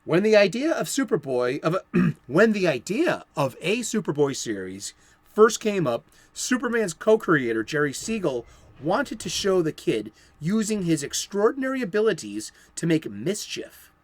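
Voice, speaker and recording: A playful man from Boston talks comics on his own show, his pitch bouncing high and low as he rambles through eager asides. His voice sits a little high for a man, and the room around him is dry and close. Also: very animated, moderate pace, no room sound. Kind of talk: enthusiastic solo comics talk.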